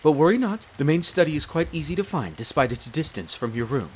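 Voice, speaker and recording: A man's voice: on the high side, very animated, a little fast, slight background noise. A man playing a courteous, apologetic wolf butler with a formal, deferential way of speaking in a roleplay audio drama. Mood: apologetic, reassuring. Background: rain.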